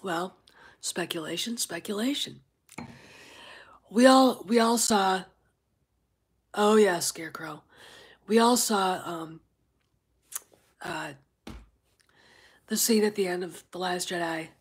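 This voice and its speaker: Husky, congested woman rambling to chat. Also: mid-range voice, takes it slowly, pauses often. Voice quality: very husky, often near a whisper. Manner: rambling, many fillers, greets chat viewers. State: congested, stuffed-up nose. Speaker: livestream host. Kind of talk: casual livestream chat.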